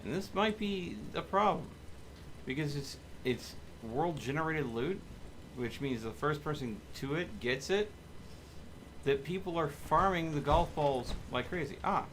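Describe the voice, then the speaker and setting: A man's voice: fairly high pitch, expressive, measured pace, a bit bright. Casual male game streamer, noisy background. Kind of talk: casual live gameplay commentary. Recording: clear background noise.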